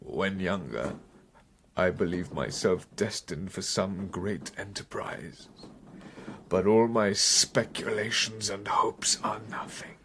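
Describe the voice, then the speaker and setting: Very slow, whispering, dying man. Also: deep voice, a bit bright, a little hiss or hum, exaggerated voice. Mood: despairing. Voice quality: breathy, whispery, weak. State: failing, near tears. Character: dying, tormented man, despairing and obsessed with vengeance. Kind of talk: gothic radio drama acting.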